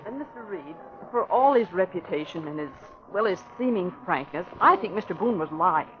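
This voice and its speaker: A woman plays an earnest young reporter of an old radio drama, her pitch rising and falling with lively eagerness. The sound is dull and lo-fi with a faint hiss behind. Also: normal speed, lightly acted. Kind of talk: 1940s radio acting.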